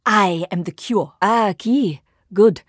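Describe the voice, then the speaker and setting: A composed and resolute video game heroine, an archer with a scientist's precision. She speaks formally and carefully at a medium pitch, in a very dry recording. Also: dry recording. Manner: formal, measured, precise wording with few contractions. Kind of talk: video game character voice lines.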